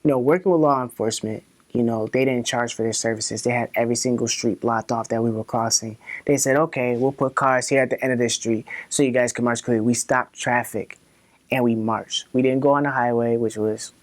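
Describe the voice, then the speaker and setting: A man's voice: medium pitch, fairly even intonation, brisk. A man recounting community experiences in an interview, emphatic and building through repeated phrases. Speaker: community march organiser. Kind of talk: personal interview storytelling.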